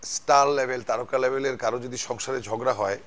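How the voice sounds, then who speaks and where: A man's voice: medium pitch, fairly even intonation, fairly quick, a bit bright. A man with a colloquial Bangladeshi accent telling casual anecdotes as a talk show guest, acting out the dialogue. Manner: acts out quoted dialogue. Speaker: actor guest.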